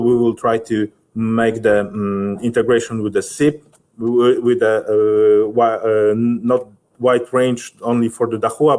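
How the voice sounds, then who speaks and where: Man, webinar presenter, slow pace, mid pitch, hesitant, halting, unscripted technical answers. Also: darkish tone. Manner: halting, hesitant, searching for words.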